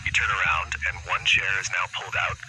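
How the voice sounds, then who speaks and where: A man playing a grim, secretive narrator of an eerie horror game, reading in a hushed, ominous way. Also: deep voice, fairly even intonation, fast talker, low-quality recording. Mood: eerie suspense. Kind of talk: scripted second-person horror narration.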